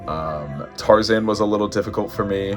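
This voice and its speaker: A young man with an American accent and a deep, low-pitched speaking voice. He talks casually and informally, in the style of a personal vlog addressed straight to the viewers. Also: normal speed, music in the background. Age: early 20s. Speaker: vlogger and amateur musical theater performer. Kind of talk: casual vlog talking to camera.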